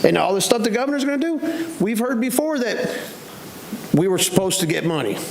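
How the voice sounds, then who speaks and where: A man's voice: high voice, very animated, a little fast, vocal fry. A man making blunt, rambling public comments at a city meeting. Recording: echoey chamber with faint noise.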